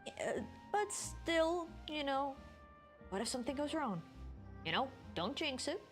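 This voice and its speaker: A woman's voice: sits high, sing-song, a little slow, faint music behind. A woman game streamer giving casual playthrough commentary and reading game text aloud.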